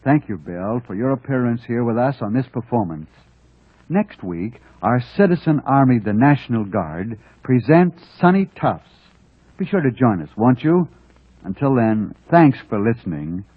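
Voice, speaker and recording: A male radio host in the vintage announcing style, formal and courteous. His husky voice moves in lively sweeps, on a tinny old recording. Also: mid-range voice, moderate pace, dry recording.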